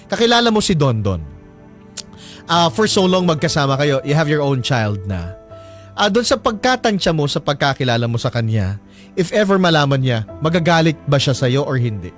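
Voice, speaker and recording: Male radio counsellor, expressive melody, casual and probing, code-switching, light music underneath. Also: fairly high pitch, moderate pace. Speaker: radio advice show host. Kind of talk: casual counselling chat in Tagalog-English mix.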